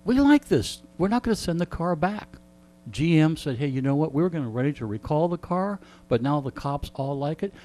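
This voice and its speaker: A man's voice: on the high side, animated, brisk, mellow. A man who has hosted a radio show, chatting casually as an interview guest and telling humorous stories in a wry, joking, folksy way. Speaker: radio host being interviewed.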